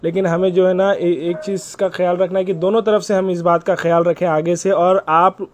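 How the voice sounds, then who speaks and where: A man's voice: sits high, flat delivery, moderate pace, a little hiss or hum. A man making an earnest, persuasive appeal on a recorded phone call, polite and deferential, repeating himself for emphasis. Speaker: caller.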